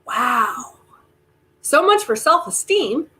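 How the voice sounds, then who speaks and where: A woman's voice: sits high, big pitch swings, slow pace, bright, forceful tone, heavily acted. A woman playing a genial, self-important, theater-loving tycoon in a comic play reading, talking in a wry, coaxing, theatrical manner. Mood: wry, probing. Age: older. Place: remote virtual reading. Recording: noisy online video call audio.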